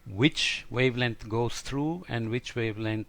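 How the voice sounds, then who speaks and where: A man's voice: mid-range voice, unhurried, vocal fry, a bit bright. A man lecturing in an explanatory, podcast-style science lesson, thinking aloud as he works through each step with some hesitation.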